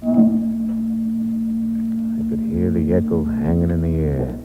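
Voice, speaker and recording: A hard-boiled, deep-voiced drifter. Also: male voice, slow, phone-quality sound, loud music behind the voice. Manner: terse, wry first-person narration. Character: hard-boiled male drifter narrating his own temptation. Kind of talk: 1950s radio drama acting. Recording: noisy old radio recording.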